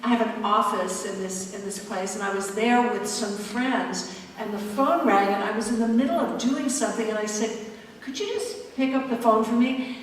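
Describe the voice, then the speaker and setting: An older woman tells a story in a chatty, animated way, her voice a touch high. The mic sits far from her, and a big hall's long reverb rings around every word, with a faint hiss beneath. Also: moderate pace. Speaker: panel guest actress. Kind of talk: anecdote at a convention panel. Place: large convention hall. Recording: echoey hall from far off.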